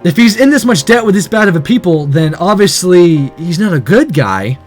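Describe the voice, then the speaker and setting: A man with a slightly high voice plays through a visual novel, reading it aloud expressively. He drops casual, sweary asides as he goes, and music plays behind him. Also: very animated, normal speed. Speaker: let's player.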